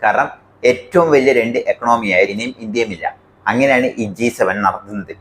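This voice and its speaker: A man's voice: medium pitch, brisk, a bit bright, a little hiss or hum, poor audio. A man from India with a Malayali accent explains the news to viewers as a commentary host, emphatic and point by point.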